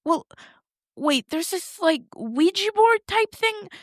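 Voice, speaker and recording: Woman playing high-voiced, anxious rambling girl Layla. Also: sing-song, takes it slowly, dry recording, clean studio sound, full character voice. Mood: puzzled, wondering. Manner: hesitant, rambling, blurting questions to herself. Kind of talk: audio fiction acting, naturalistic.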